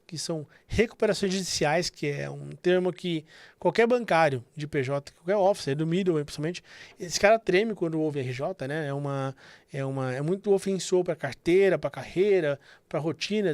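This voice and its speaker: A man's voice: fairly high pitch, normal speed, dry recording. A man hosting a casual business podcast, explaining things conversationally with frequent fillers. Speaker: podcast host.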